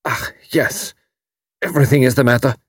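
A man voicing an anguished young German refugee with a German accent, hoarse and bitter, in formal, halting phrasing. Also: medium pitch, no echo, clean studio sound, pitched above the performer's own voice, close to an everyday voice. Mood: bitter despair. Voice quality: hoarse, strained.

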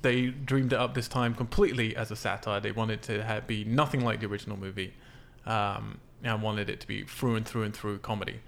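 A man's voice: lowish voice, steady tone, a little fast, vocal fry, few pauses, a bit bright. A man hosting a casual film podcast, explaining things in an informal, sweary way. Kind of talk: casual film discussion from notes.

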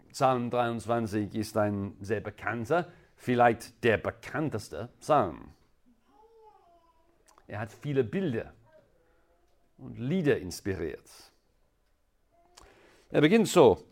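A man from England with an English accent, a native English speaker preaching as a guest in halting, deliberate non-native speech. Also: mid-range voice, a little mellow. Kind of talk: sermon.

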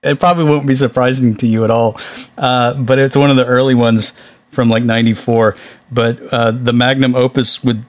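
A man's voice: mid-range voice, fairly even intonation, moderate pace, no room sound. Casual man chatting on a dry recording. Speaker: podcast co-host. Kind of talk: casual chat about movies.

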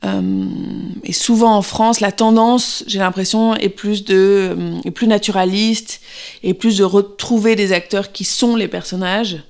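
A woman's voice: medium pitch, expressive, measured pace, darkish tone. A woman in her thirties, an actress answering interview questions, pregnant, speaking hesitantly with many fillers and restarts.

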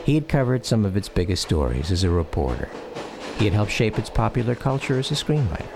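A man narrating a personal radio drama in a reflective, measured storytelling style. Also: on the low side, big pitch swings, gravelly, noisy background. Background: music.

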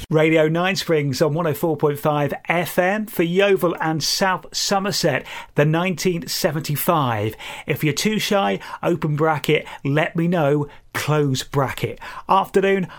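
A British man presenting a music radio show in a chatty, jokey, self-deprecating way. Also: fairly high pitch. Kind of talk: music radio links with light anecdotes.

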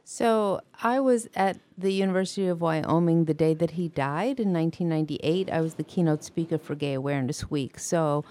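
An older woman, a guest author on a radio interview, telling a story earnestly in her loud voice. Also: low voice, moderate pace, few pauses.

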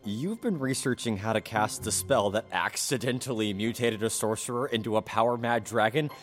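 A man playing a deadpan young apprentice. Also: on the low side, normal speed, in a large hall, soft background music, close to an everyday voice. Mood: exasperated. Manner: deadpan, sarcastic. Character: young male apprentice, dry and exasperated. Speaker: apprentice character. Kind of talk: fantasy audio drama acting.

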